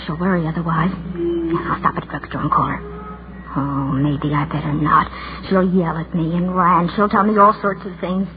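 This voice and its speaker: An actress playing the inner voice of an anxious, lonely spinster, fretful and wavering, in 1940s radio style. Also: female voice, mid-range voice, narrow, tinny audio, lightly acted. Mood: defiant, wavering. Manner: fretful, self-arguing, wavering. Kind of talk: 1940s radio acting, interior monologue. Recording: noisy, thin 1940s radio recording.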